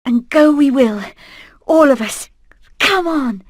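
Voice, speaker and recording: A British woman playing a determined, plucky hen in an animated video game, speaking in a brisk, earnest, rallying way. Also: sits high, dry recording, studio quality. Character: determined, plucky female hen leading an escape plan.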